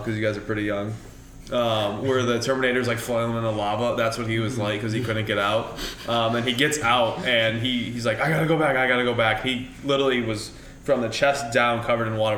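A man's voice: mid-range voice, fairly bright tone, slight background noise. A man, a coach, telling a rambling story in casual chat, with many ums along the way. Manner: rambling, filler-heavy with frequent ums. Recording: echoey room.

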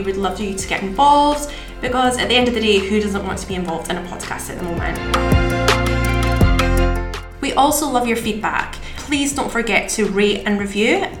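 A woman hosting a podcast, giving a partly scripted introduction in a conversational way. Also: fairly high pitch. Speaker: podcast host and marketing coordinator. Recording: roomy echo, faint music.

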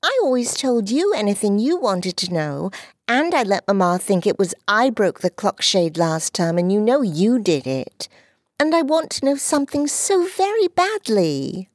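A woman voicing an earnest, jealous little girl in an audiobook, high and eager. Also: very animated, moderate pace, dry recording, clean studio sound. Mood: pleading. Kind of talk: audiobook character voice.

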